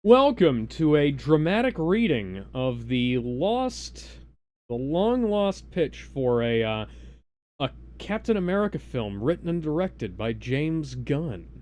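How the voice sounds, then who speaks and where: A man hosting a live stream, casually explaining things in a halting, rambling way with mock-serious asides. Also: fairly high pitch, sing-song, darkish tone. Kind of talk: casual live introduction, explaining party game rules.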